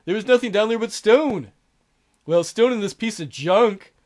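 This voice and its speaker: A man voicing a smug, scheming male relic dealer who talks in a slow, mock-polite drawl. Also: high voice, darkish tone, lightly acted. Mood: smug menacing.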